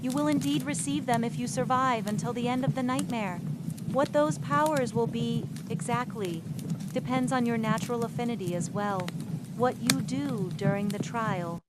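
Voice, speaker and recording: A woman's voice: a little high, normal speed, very noisy, close to an everyday voice. A woman voicing a stern, weary old male policeman who gives grave instructions in an audiobook. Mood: patient, instructing. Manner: grave, instructing, clipped warnings.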